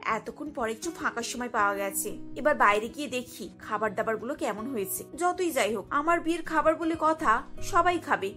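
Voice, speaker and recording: A high-voiced young bride in a cartoon, acted by a woman, eager and bossy about food. She speaks like someone impatient to be served, with faint music behind her. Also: expressive, brisk, sharp tone. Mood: sly, eager. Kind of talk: Bengali cartoon acting.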